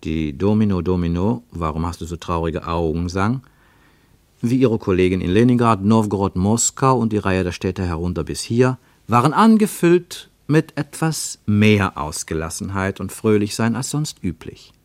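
An actor as the narrator of a literary radio play, telling a travel story in measured, rhythmic phrases. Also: male voice, deep voice, animated, darkish tone, no echo. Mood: measured, descriptive.

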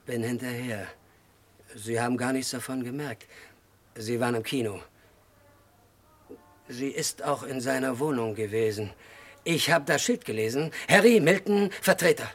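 An actor in a German radio crime play, playing an unhinged, childlike murderer who confesses in halting, disjointed sentences. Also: male voice, medium pitch, lightly acted. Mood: bitter, giggly. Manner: halting, disjointed short sentences, swinging from hushed to agitated outbursts. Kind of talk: mid-century German radio crime drama acting.

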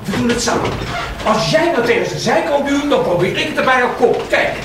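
Casting: fussy, bossy husband for radio drama, high-pitched, distant and very echoey. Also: male voice, expressive, a little fast, background noise. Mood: bossy, irritated. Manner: exasperated, fussing, bossy. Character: exasperated, flustered husband, a town mayor. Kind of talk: Dutch radio play acting.

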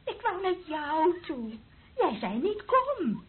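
An actress in a radio play playing an anguished woman in love, intense, moving between cries and slow whispers. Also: extremely high pitch, big pitch swings, heavily acted. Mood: desperate, pleading. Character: anguished woman in love, confessing her lies. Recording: narrow, noisy old radio recording.

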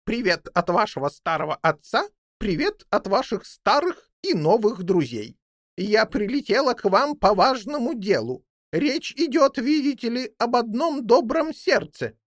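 A woman voicing an old female jackdaw in a children's fairy tale, motherly, bossy and theatrical, with drawn-out emphatic delivery. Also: fairly high pitch, sing-song, no echo, clean studio sound, voice pushed up. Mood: earnest, excited.

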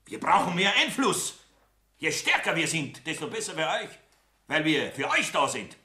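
An actor playing a self-important populist politician in a German radio play, declaiming emphatically with a Bavarian accent in an echoey room. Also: male voice, on the high side, very animated, normal speed, bright, forceful tone, exaggerated voice. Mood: rousing, self-satisfied.

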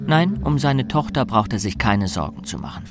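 A man narrating a radio drama as a composed, observant storyteller, in a measured delivery. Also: mid-range voice, sing-song. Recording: music under the narration.

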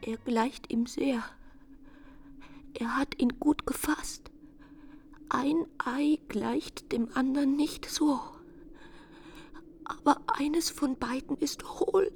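A woman's voice: high voice, steady tone, slow pace, long pauses, darkish tone, a little hiss or hum. An actress playing a fragile, deluded young bride-to-be in a German radio play, confiding her secrets with feverish earnestness. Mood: eerie musing.

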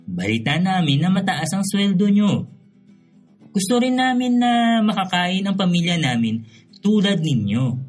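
An actor voices a defiant male union president for radio drama. His fairly high voice rises and falls freely as he speaks up earnestly and respectfully, music faint behind him. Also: very animated, a little slow. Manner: respectful but assertive.

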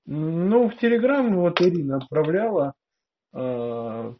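A man's voice: a little high, animated, slow pace, a little hiss or hum. A man speaking politely and hesitantly in a phone conversation with an official. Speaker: prospective student, applicant. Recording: dull lo-fi phone call.

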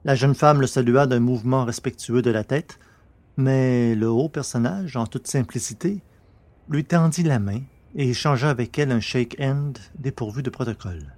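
Man, storyteller, mid pitch, scripted science fiction audiobook narration, measured, literary delivery. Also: darkish tone, dry recording.

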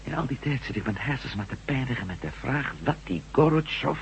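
A man's voice: medium pitch, expressive, brisk, vocal fry, lo-fi sound. A man acting a troubled, earnest and stubbornly principled hero in a radio play. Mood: troubled, apologetic. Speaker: actor in a radio drama. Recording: hissy, humming radio recording.

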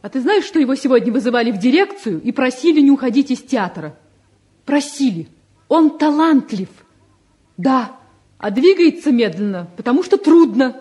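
An actress playing a spirited, frank young woman, a devoted sweetheart, in an expressive 1950s Soviet radio play style. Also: sits high, normal speed, breathy edge, exaggerated voice. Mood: earnest, protective.

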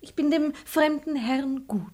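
A naive young miss in a period radio comedy, her voice very high and girlish. She is headstrong, pert and impetuous in everything she says. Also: unhurried, darkish tone. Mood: pert, pouting. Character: naive, headstrong young girl, daughter of the house. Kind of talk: classic German stage comedy as radio play.

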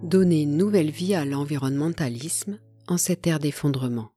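A woman narrating a nonfiction audiobook in a measured, even reading style. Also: deep voice, breathy edge, faint music behind.

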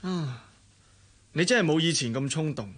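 Wry, lightish-voiced Hong Kong big brother. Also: male voice, a little high, expressive, normal speed, a bit bright, slightly rough. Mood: reflective. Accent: Hong Kong Cantonese. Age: young adult. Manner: wry, reflective, teasing. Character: easygoing, philosophical, wry elder brother. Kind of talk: Cantonese radio drama acting.

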